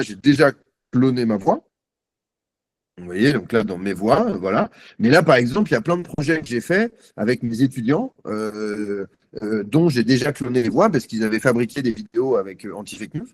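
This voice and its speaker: A man giving a live software demo on a video call, chatty and self-mocking, thinking aloud with many fillers. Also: medium pitch, lively intonation, moderate pace, dry recording. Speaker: guest presenter.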